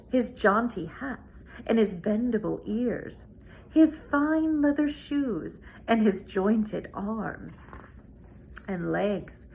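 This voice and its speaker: A woman reads a children's novel aloud as a storyteller, with deliberate, dramatic phrasing. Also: on the high side, creaky, a little mellow, poor audio. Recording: noticeable background noise.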